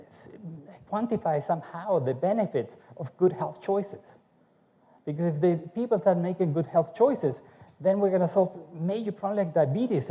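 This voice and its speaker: A man from Chile, speaking English, gives a conference talk on food research. His voice is very dark and mellow, and he keeps checking in with the audience by asking "okay?". The sound carries a long reverb from the hall. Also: a little high. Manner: conversational, checks in with frequent "okay?", restarts.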